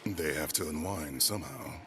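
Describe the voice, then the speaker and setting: A man playing a calm, loyal pirate quartermaster in an audio drama. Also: rumbling low, normal speed, faint music behind.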